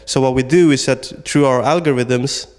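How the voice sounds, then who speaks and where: A man's voice: mid-range voice, fairly even intonation, moderate pace, in a large hall. A man from Sweden, a startup founder, answering interview questions hesitantly with many fillers and restarts.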